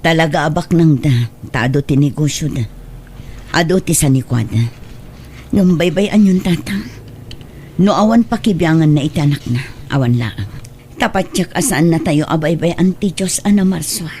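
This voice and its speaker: A woman's voice: low voice, normal speed, a little mellow, a little hiss or hum, close to an everyday voice. A woman playing a hurt, long-suffering single mother in a radio drama, pleading for her child in an Ilocano accent. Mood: sorrowful. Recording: music bed under the voice.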